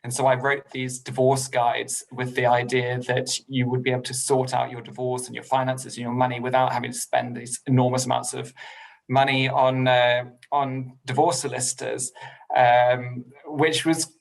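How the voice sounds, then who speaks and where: Middle-aged english guy on a podcast, gravelly and kinda flat, lots of ums and little laughs. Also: medium pitch, moderate pace, poor audio. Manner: polite, self-deprecating, with many ums and laughs. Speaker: podcast guest. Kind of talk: casual interview chat.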